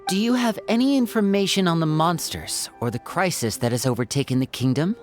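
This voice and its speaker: A woman's voice: fairly low pitch, lively intonation, a little fast, faint music behind, natural voice. An actress voicing a composed young female adventurer in a game, speaking politely and formally.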